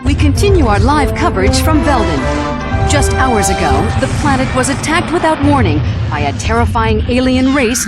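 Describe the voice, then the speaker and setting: A woman playing a dramatic television field reporter in a video game, urgent, polished and sensational. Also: on the high side. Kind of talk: news report in a game cutscene. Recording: loud music behind the voice.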